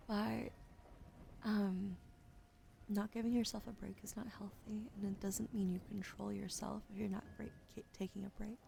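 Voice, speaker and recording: A woman livestreaming a soft-spoken ASMR card reading, often slipping into a whisper, chatty and affectionate with her viewers, close to a desk mic. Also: mid-range voice, vocal fry, slight background noise. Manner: soft, gentle, partly whispered delivery. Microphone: close desk microphone.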